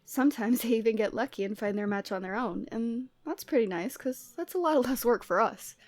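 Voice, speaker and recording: A lovestruck Cupid girl acted by a woman talks to her best friend in a fairly high voice. She is conversational and openly emotional, in the style of an audio roleplay. The sound is very dry, with no room around it. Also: fairly quick, no room sound, natural voice. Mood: teasing, chatty. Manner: conversational, teasing, emotionally expressive. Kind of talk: ASMR roleplay audio drama, addressing the listener.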